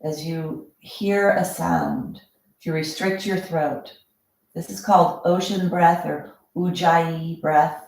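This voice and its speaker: A woman teaching yoga breathing as a guided meditation, speaking calmly and without hurry in drawn-out instructive phrases. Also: sits low, creaky. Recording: very echoey room, distant microphone.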